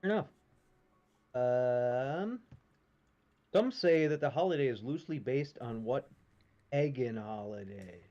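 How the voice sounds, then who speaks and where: A man's voice: medium pitch, lively intonation, unhurried, pauses often, mellow, lo-fi sound. A man from Minnesota co-hosting a trivia stream, chatting casually, laughing easily and trailing off into asides. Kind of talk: casual chat.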